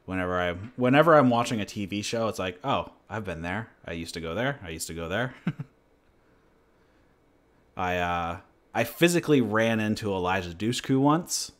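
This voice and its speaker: Low-voiced laid-back man rambling casually. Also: animated, normal speed. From New Hampshire, USA. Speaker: channel host. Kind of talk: casual livestream chat and Q&A.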